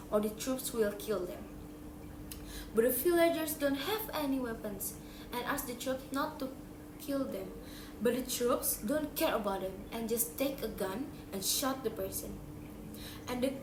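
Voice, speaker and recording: A young teenage girl with an Indonesian accent recites a story with earnest, theatrical delivery. Her voice is high, and she is recorded at a distance in a roomy, echoing space with clear noise behind her. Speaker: story telling contestant. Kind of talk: dramatic competition storytelling. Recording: roomy echo, distant mic, noisy.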